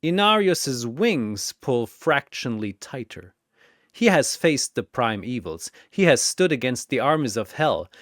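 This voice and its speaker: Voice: mid-range voice, sing-song, unhurried, no room sound, studio quality. A narrator delivering dramatic scripted battle storytelling in an emphatic, portentous, announcer-like manner.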